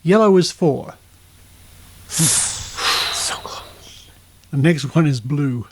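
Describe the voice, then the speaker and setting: A man's voice: a little high, lively intonation, drawn-out words, noisy background. A middle-aged man with an Australian accent, presenting a podcast casually with conversational asides. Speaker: podcast host.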